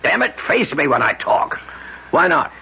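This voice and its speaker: A man's voice: on the high side, big pitch swings, measured pace, gravelly, pushed and bright, breathy edge, exaggerated voice. An actor playing a cold, controlling older father in a 1970s radio drama, harsh and commanding. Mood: impatient. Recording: dull vintage radio recording.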